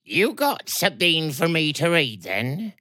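A man doing a slow, knowing old crone. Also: on the high side, sing-song, pushed and bright, no echo, clean studio sound, voice pushed up, full character voice. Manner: slow, knowing, drawn-out speech. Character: shrewd old woman fortune reader.

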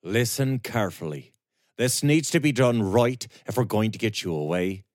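A man playing a grim young man set on revenge in a storybook, keeping his voice low and controlled. The tone is deep and lively, recorded close and dry. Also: moderate pace, no room sound, natural voice. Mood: calm.

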